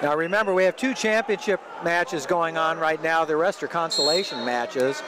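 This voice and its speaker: A man's voice: on the high side, normal speed, poor audio. A man working as a play-by-play announcer, giving rambling, explanatory live wrestling commentary for radio. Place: large indoor sports arena. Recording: loud crowd and gym noise behind. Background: crowd, bouncing and thuds of a tournament.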